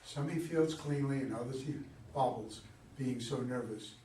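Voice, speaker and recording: A man's voice: medium pitch, normal speed, warm tone. A man reading stage directions as narrator at a staged play reading. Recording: echoey room, far from mic, background noise.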